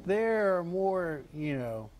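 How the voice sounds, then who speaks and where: Higher-pitched joking male morning anchor. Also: very animated, takes it slowly, a crowd in the background. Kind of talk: light on-air banter. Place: TV studio.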